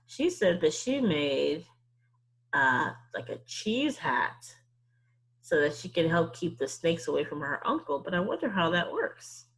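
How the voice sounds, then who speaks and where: A woman's voice: low voice, big pitch swings, unhurried, a bit bright, husky. A woman leading an interactive story-time lesson for young children, thinking aloud and asking exaggerated questions. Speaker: teacher leading a read-aloud.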